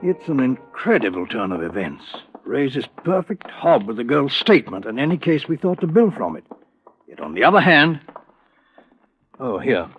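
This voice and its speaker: An actor playing a courteous, wry police inspector with a British accent and formal, understated speech in an old radio crime drama. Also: male voice, medium pitch, very animated, normal speed, faint music behind. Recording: muffled old radio recording.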